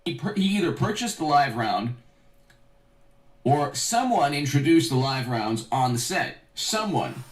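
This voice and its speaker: A man explains in a measured, halting way in a played clip. His voice sits back from the mic and rings in a roomy space. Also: medium pitch. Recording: echoey clip from far off.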